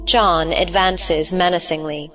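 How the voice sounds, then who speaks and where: A woman's voice: lowish voice, fairly even intonation, slow, fairly bright tone, faint music behind. A woman narrating an audio play, reading stage directions in a scripted style.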